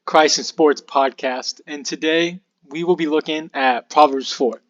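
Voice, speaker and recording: A young man with a slightly hoarse voice hosts a podcast, talking through a Bible passage in a relaxed, rambling way. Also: a little high, measured pace, no room sound, muffled recording. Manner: relaxed and rambling, with fillers and asides. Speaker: podcast host. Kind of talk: casual Bible study talk.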